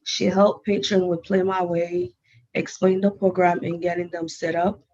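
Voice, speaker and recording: A woman whose first language is Haitian Creole, presenting at a public meeting in careful, halting English. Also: on the low side, flat delivery, darkish tone. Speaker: GameSense advisor. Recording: dull lo-fi meeting audio.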